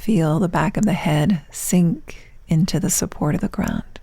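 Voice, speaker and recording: A woman guides a meditation in a low, soft voice that turns breathy as phrases end. Her delivery is hushed and very slow, with long pauses. The sound is dry and close. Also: no echo. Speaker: yoga nidra teacher. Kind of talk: guided meditation, soothing instruction.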